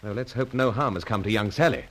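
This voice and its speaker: An actor playing a gallant, composed secret agent with gentlemanly phrasing in a radio serial. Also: male voice, on the low side, fast, lightly acted, rough recording. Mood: urgent, respectful. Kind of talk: radio serial acting and narration.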